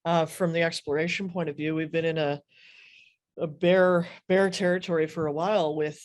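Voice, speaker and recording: A woman's voice: low voice, fairly even intonation, moderate pace. A woman, a junior mining company CEO, giving direct answers on an online panel.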